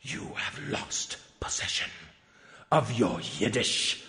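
A man's voice: medium pitch, lively intonation, slow pace. A man voicing a pompous, outraged company executive in a theatrical dramatic reading of threatening emails. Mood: hushed menace. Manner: pompous, overwrought, swinging from hushed to shouting. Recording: long echoing hall reverb.

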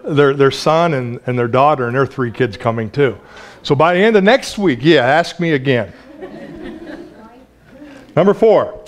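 A man in his forties preaching a sermon in a small church, chatty and lively, laughing often and restarting his phrases. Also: on the high side. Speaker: pastor.

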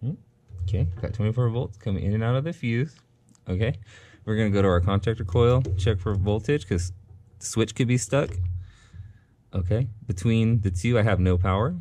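Low-voiced man talking through a demo. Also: normal speed, warm tone, a little hiss or hum. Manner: casual, step-by-step, thinking aloud with fillers. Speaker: HVAC technician instructor. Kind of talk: hands-on troubleshooting demo. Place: workshop bench with a test board.

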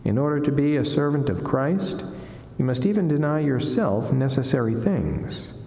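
A middle-aged man, a friar, giving a solemn devotional reading in a measured, deliberate, formal way from a lectern in a reverberant chapel. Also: medium pitch, animated, dark tone. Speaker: friar reading a saint's life and reflection. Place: wood-panelled chapel, indoors. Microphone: lectern gooseneck microphone. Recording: echoey chapel with long reverb.

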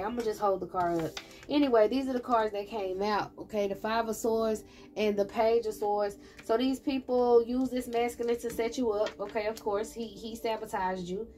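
A woman's voice: medium pitch, moderate pace, darkish tone, slight background noise. A chatty woman gives a loose, conversational tarot reading. She talks straight at her audience in a mid-range voice, thinking aloud as she goes. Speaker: tarot reader. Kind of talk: casual tarot reading for viewers. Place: indoor table with candles and plants.

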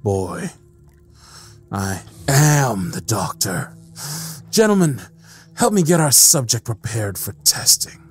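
A man playing a sadistic, mocking evil scientist in an audio drama, with a drawn-out, menacing delivery. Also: mid-range voice, sing-song, slightly rough, full character voice. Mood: smug. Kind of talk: dramatic audio roleplay acting. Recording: music under the voice.

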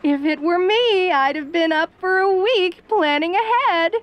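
A woman's voice: very high-pitched, a little slow, no room sound, dull audio. A woman playing a cheerful, doting yandere mother who teases in a sugary, coaxing way in an audio roleplay. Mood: sweet chiding.

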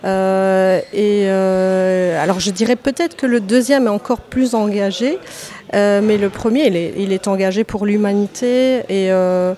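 A woman in her thirties from Lebanon, an author answering podcast interview questions with many pauses, fillers and restarts. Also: medium pitch, fairly even intonation, mellow. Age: 30s. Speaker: author guest.

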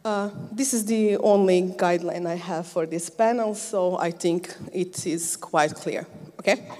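A woman's voice: lowish voice, measured pace, a little mellow. A woman, moderating a live panel on a handheld microphone, chatty and friendly, often checking in with "okay?". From Croatia. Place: large sports hall used for a conference. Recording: long reverb of a big hall.

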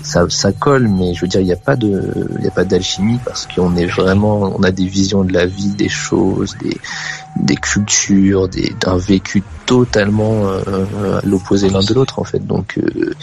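Caller, male, very low voice, halting and hesitant, faint music under. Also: fairly even intonation, gravelly, a little mellow. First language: French. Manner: hesitant, many restarts and fillers. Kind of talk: casual phone-in chat.

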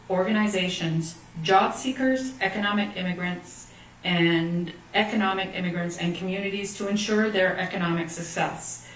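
Middle-aged lady reading out a formal presentation, lowish voice, roomy sound. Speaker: economic development officer giving a presentation. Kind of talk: formal scripted presentation, read aloud. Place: meeting room indoors, at a lectern. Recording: echoey room, distant mic, faint noise.

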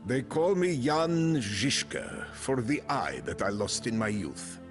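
An actor playing a grim, proud medieval Bohemian mercenary commander, narrating his story solemnly and deliberately for a video game. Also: lowish voice. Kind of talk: dramatic scripted first-person narration. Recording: clean voice-over with music behind.

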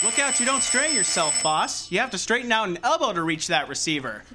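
Wisecracking streetwise sidekick acted by a man, slangy radio-noir delivery, slightly high pitch, very animated. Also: fairly quick, background noise. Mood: teasing. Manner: flippant, slangy. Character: wisecracking streetwise male detective's assistant, narrator. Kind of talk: hardboiled radio drama narration and dialogue.

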